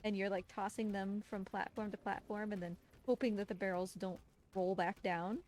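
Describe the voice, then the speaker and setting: A woman's voice: medium pitch, fairly even intonation, normal speed, darkish tone, a little hiss or hum. A woman giving casual, chatty live commentary on a video game speedrun, explaining things as she plays. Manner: chatty, explanatory, talks fondly to game creature. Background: game music and game sounds.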